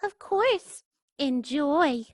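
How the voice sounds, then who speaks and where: A woman's voice: sits high, lively intonation, very slow, no echo, studio quality, pitched above the performer's own voice, full character voice. A woman voicing a small, polite young duckling from a storybook, sweet and innocent, with lots of questions.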